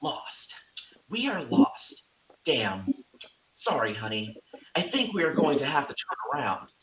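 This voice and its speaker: A man's voice: medium pitch, big pitch swings, measured pace, lo-fi sound, noisy background. A man playing a mild, apologetic, concerned husband in a script table read. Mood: sheepish.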